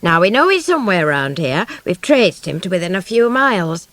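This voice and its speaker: A woman voicing a grave male police sergeant in an official, solemn, deliberate manner for an audiobook story. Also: fairly low pitch, big pitch swings, fairly bright tone, no echo.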